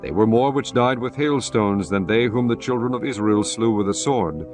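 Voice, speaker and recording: A man narrating scripture in a measured, solemn way. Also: on the low side, over music.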